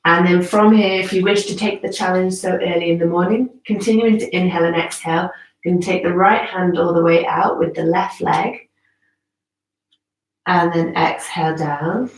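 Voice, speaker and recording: Female yoga instructor, slightly stuffy nose, measured guiding cues with casual asides, recorded in a roomy space away from the mic. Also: lowish voice, flat delivery. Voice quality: slightly congested, bunged-up nose. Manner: measured, pausing between cues, conversational asides. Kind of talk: live guided yoga class, instructional talk. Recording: roomy echo, distant from the mic.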